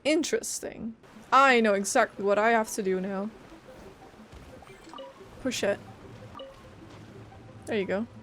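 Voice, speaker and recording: A woman streaming a video game, giving casual, expressive and irreverent reaction commentary. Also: a little high, slow, long pauses, slight background noise. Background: faint game music.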